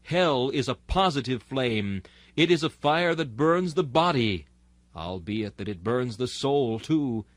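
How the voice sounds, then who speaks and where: A man's voice: mid-range voice, expressive, unhurried, no echo. Solemn man delivering a dry, close sermon. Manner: solemn, exhorting, oratorical. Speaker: narrator reading a historical sermon. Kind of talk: dramatic sermon reading.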